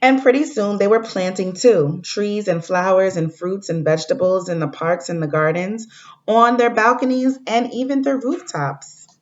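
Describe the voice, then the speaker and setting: A woman teacher giving a read-aloud lesson to young pupils, speaking in a deliberate way and addressing the children directly. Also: medium pitch. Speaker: elementary school teacher. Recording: dull lo-fi recording.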